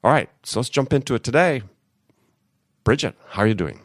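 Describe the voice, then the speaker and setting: A man's voice: medium pitch, animated, fairly quick, dry recording, clean studio sound. A man hosting a podcast in casual, upbeat talk while getting over a cold. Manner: upbeat, conversational. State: has a cold. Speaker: podcast host.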